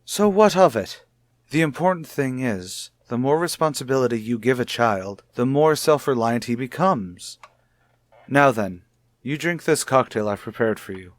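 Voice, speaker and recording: An actor playing a cold, short-tempered, domineering husband in a 1950s radio soap, formal and condescending. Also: male voice, medium pitch, animated, moderate pace, dry recording, natural voice. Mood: smooth, insistent. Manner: formal, condescending, clipped disapproval.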